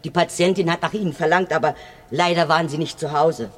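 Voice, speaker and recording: A woman's voice: low voice, fairly even intonation, brisk. A woman playing an elderly, resolute hospital nurse in a German radio crime play, stern, curt and bossy. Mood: brisk, stern. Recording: old radio play, faint noise.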